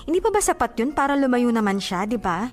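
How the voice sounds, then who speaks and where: A woman's voice: fairly high pitch, big pitch swings, quick pace, a little mellow. An actress playing a jealous, hurt young woman in a Filipino radio drama, with emotional delivery and a Filipino accent. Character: jealous, hurt young woman confiding about her boyfriend.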